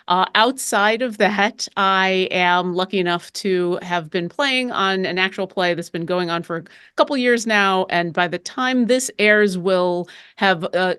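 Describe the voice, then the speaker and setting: A woman's voice: mid-range voice, fairly bright tone, clear, resonant tone, no echo, studio quality. Chatty woman introducing herself. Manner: chatty, with many fillers. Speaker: panelist, content manager. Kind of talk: casual panel self-introduction.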